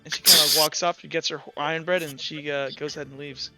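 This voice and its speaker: A game master narrating an improvised tabletop game in an informal, loose and halting way. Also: medium pitch, fairly even intonation, over loud music, low-quality recording.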